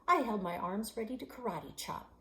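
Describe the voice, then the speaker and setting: Expressive woman reading a children's novel. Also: mid-range voice, moderate pace. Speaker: librarian and author.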